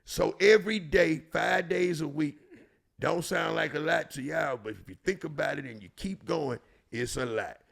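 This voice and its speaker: A man's voice: fairly high pitch, moderate pace, gravelly, a bit bright. A man with a Houston, Texas accent, telling street stories casually in slangy, profane language with emphatic repetition. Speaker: storyteller and show regular.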